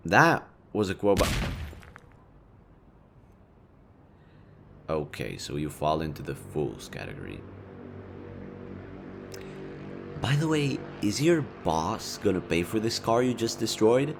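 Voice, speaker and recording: A low-pitched, smug supervillain acted by a man talks straight to the listener in an audio roleplay. He is sardonic and casually sweary, with a theatrical taunting manner and a hidden caring side. Some background ambience sits behind the voice. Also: creaky, slight background noise, exaggerated voice. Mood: mocking, sardonic. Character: smug male city supervillain, sardonic yet secretly caring.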